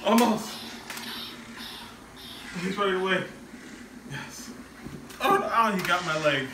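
A man's voice: high voice, expressive, slow pace. Man, thirties, playful casual dad talk, distant from mic, echoey room. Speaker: father in a family vlog. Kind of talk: casual playful family chat. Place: home living and dining room. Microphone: handheld phone camera at some distance. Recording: roomy, noisy phone recording, off mic.

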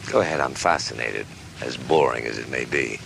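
An actor playing a smug, sarcastic mystery writer accused of murder, mocking and haughty in a theatrical way. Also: male voice, a bass voice, moderate pace, vocal fry, a bit bright, exaggerated voice. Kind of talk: dramatic dialogue acting. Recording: noticeable background noise.

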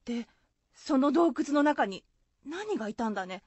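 A woman's voice: high voice, lively intonation, moderate pace, darkish tone, no room sound, highs cut off. A woman playing an anxious, caring rustic wife in a folk-tale radio drama, fretful and questioning, with Shizuoka dialect. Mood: anxious, curious.

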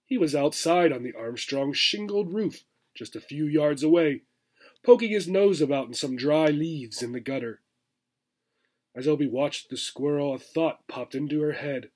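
Man, forties, fairly high voice, expressive children's storybook narration. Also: normal speed. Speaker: teacher reading a children's book aloud. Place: small furnished room indoors.

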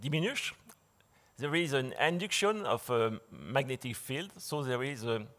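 A man lecturing in English, which is not his first language, in a large dark hall. He speaks through a podium mic, with many fillers and restarts. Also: medium pitch, lively intonation, unhurried. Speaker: conference speaker. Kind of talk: slide lecture.

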